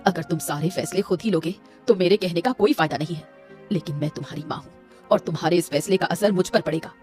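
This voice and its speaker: A woman plays a stern cartoon mother-in-law. She scolds and worries in a lowish, firm, reproachful voice, with music behind her. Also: quick pace, darkish tone, lightly acted. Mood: reluctant. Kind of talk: Hindi moral-story cartoon voice acting.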